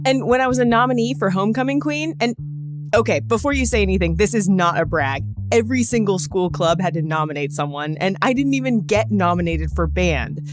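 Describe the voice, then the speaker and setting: A woman's voice: medium pitch, expressive, brisk, music in the background. A woman hosting a podcast, reading scripted narration in a conversational storytelling style with wry, joking asides. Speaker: podcast host.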